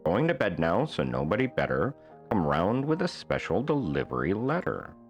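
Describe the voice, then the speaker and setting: A man's voice: sits low, huge pitch swings, moderate pace, faint music behind. A man voicing a weary, stubborn castle drawbridge keeper from a children's storybook. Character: sleepy, grumpy male castle drawbridge keeper.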